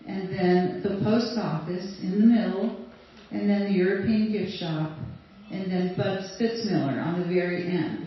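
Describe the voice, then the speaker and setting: An older woman from Sweden reminisces slowly and chattily, trailing into fillers and soft laughs. She sounds distant from the mic in a very echoey room. The recording is dull and lo-fi. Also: lowish voice, slight background noise. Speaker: guest speaker sharing memories. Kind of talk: casual storytelling to an audience.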